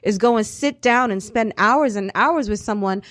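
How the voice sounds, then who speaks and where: A woman's voice: on the high side, normal speed, fairly bright tone, dry recording. A woman hosting a casual radio interview, slangy and conversational, with frequent affirming interjections. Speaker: radio show host.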